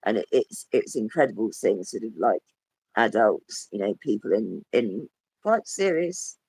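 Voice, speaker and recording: A man with a croaky, hoarse voice, talking as a guest in a rambling casual chat that trails off and restarts. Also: medium pitch, a little mellow, no echo, low-quality recording. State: hoarse with a cough. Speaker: podcast guest. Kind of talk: casual conversation.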